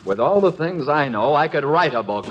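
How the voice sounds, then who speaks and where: A man's voice: on the high side, expressive, normal speed, lightly acted. An American man acting a suspicious, sarcastic middle-aged husband and father in a 1950s radio comedy, dry and hesitant. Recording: dull, very noisy old radio broadcast.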